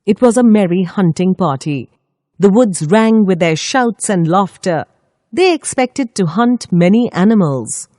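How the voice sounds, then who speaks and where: A woman storyteller reading a scripted children's story with expressive, deliberate phrasing. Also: mid-range voice, no room sound, studio quality.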